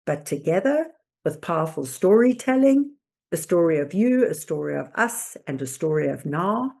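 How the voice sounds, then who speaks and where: A woman presenter delivering scripted motivational narration in a deliberate, emphatic, oratorical manner. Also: on the low side, very animated, vocal fry, a little mellow. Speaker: host and presenter.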